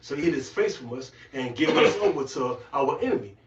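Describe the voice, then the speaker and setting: A man's voice: mid-range voice, animated, quick pace, recorded from a distance. Insistent man preaching, roomy muffled recording. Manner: insistent, punctuates statements with "man". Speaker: preacher, co-teacher. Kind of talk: scripture commentary. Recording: dull, roomy, off-mic.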